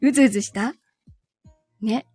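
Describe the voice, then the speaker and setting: A woman's voice: fairly high pitch, moderate pace, warm tone, husky, no echo, faint music behind. A woman chatting casually and giggling often, as a live voice drama performer talking after a show. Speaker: amateur-style live voice drama performer and host.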